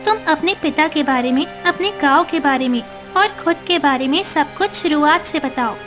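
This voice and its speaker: A high, sweet mermaid played by a woman pleads her innocence with gentle earnestness. It is cartoon story dialogue, with music and rain clearly behind her. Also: moderate pace. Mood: earnest, defensive. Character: gentle young female mermaid, earnest and wronged.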